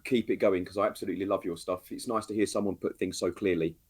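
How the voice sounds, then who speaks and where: A man's voice: deep voice, fairly even intonation, fast talker, a little mellow, slightly rough. A man joining a livestream as a guest, friendly and generous with praise. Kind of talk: casual livestream chat.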